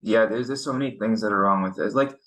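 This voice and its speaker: A man's voice: lowish voice, fast talker, fairly bright tone. A young man from Pakistan hosting a casual podcast chat, earnest and frustrated, often restarting his sentences. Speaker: podcast host. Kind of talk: casual conversation.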